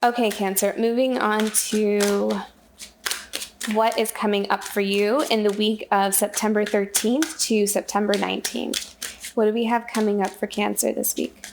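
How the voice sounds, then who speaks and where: A woman doing a casual spiritual oracle card reading, talking in a soft, rambling way while losing her voice. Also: mid-range voice, little pitch movement, measured pace, gravelly, background noise. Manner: soft, rambling, repeats and restarts phrases. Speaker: tarot and oracle card reader.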